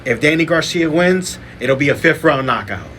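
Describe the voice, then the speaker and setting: A man's voice: fairly high pitch, expressive, moderate pace, fairly bright tone, a little hiss or hum. A man hosting a podcast, chatting casually as he runs through fight lineups and picks. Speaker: podcast host. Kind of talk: casual boxing podcast talk.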